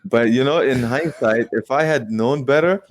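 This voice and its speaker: A man in his late twenties, a guest investor telling stories in a casual interview with quick rueful asides. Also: medium pitch. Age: 20s. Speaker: guest real estate investor.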